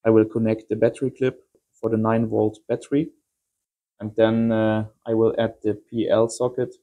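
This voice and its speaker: Mellow-voiced German-accented man. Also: lowish voice, flat delivery, moderate pace, dull audio. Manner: simple non-native English phrasing. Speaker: amateur radio vlogger. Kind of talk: casual explainer to viewers.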